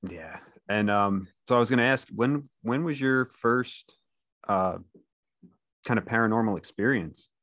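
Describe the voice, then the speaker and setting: A man's voice: fairly low pitch, measured pace, a bit bright, no room sound, clean studio sound. A man hosting a casual conversation in a laid-back, slangy way, often saying dude and you know. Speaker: podcast host, interviewer. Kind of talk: casual chat.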